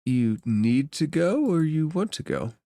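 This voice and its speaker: Man playing a slow, courteous space stranger. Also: a little high, very animated, warm tone, dry recording, pitched above the performer's own voice. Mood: curious. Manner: formal, unhurried, ingratiating. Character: courteous, smooth-talking, enigmatic male stranger in space.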